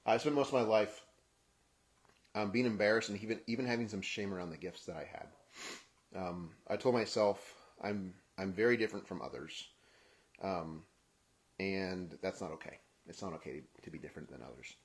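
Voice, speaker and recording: Halting, reflective man with a low voice. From Kenya. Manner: halting, restarts phrases, soft trailing endings. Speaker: podcast host. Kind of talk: personal reflective monologue.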